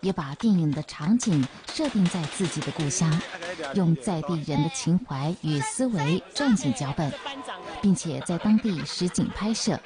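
Low-voiced Taiwanese woman narrating. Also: animated, normal speed, crowd noise. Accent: Taiwanese Mandarin. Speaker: documentary narrator. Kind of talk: scripted narration. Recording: music under voice-over.

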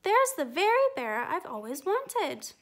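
A woman voicing an eager, sweet little girl in a storybook reading, high and excited. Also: measured pace, roomy sound, full character voice.

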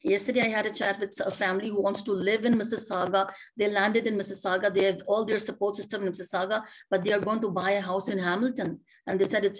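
A middle-aged woman speaks as a panelist over a video call from a home office. She talks earnestly, piles up rhetorical questions and often starts her sentences over. Her voice sits at an everyday middle pitch. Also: poor audio. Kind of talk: panel discussion remarks.

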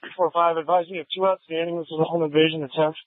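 A man's voice: a little high, little pitch movement, very fast, no echo, narrow, tinny audio. A man, a police officer, making clipped, urgent reports over a police radio. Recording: thin police scanner radio.

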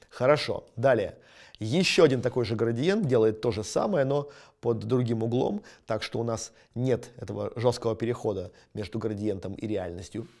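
A man's voice: medium pitch, a little mellow. Methodical man explaining step by step. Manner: methodical, narrating each step with frequent "э" hesitations. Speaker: photography instructor. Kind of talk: screen-recorded editing tutorial. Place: small studio against a light plain backdrop.

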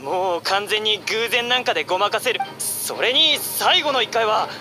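High-pitched, exasperated young adventurer. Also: male voice, lively intonation, moderate pace, music in the background. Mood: resigned, bitter. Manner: complaining, dramatic. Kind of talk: Japanese anime game voice acting.

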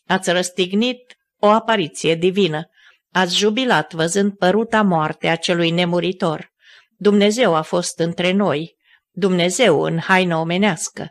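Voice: mid-range voice, lightly acted. A reader voicing Pilate as a sick, feverish Roman governor who moans weakly and then raves wildly, in an audiobook. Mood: wild, raving. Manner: weak and moaning, then wild shouting. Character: dying feverish male Roman governor, raving.

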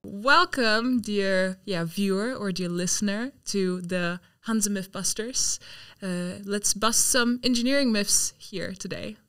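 Young woman, podcast host, smooth clear voice, dry close sound, chatty. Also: medium pitch, slow pace, hardly any pauses, a bit bright, no echo. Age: young adult. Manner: chatty, upbeat, many "yeah" fillers. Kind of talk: casual interview chat. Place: university studio room. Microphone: desk mic on stand.

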